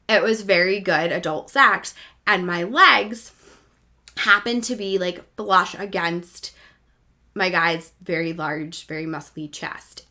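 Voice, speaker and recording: Bright, forceful woman in her thirties. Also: fairly low pitch. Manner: candid, animated, self-mocking. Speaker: podcast host. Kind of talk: casual personal storytelling.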